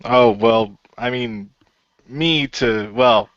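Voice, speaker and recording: A man's voice: medium pitch, drawn-out words, a bit bright, no echo, dull audio. A man playing an easygoing, hesitant and rambling young hero in an audio role-play. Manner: rambling, hesitant, circling back.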